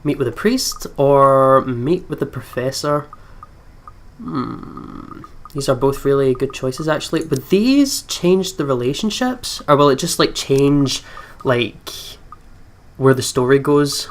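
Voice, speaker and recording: A man hosting a gaming video, reading story text aloud and thinking out loud in a chatty way. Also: mid-range voice, expressive, slow, a little hiss or hum.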